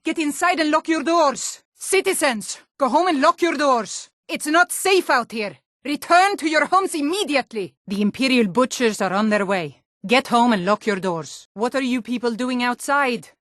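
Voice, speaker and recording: A woman's voice: high-pitched, animated, moderate pace, hardly any pauses, a bit bright, no echo, clean studio sound. An actress voicing a tough, proud Nord woman soldier and guard in a video game, blunt and commanding in manner. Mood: urgent warning. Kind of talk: video game character voice lines.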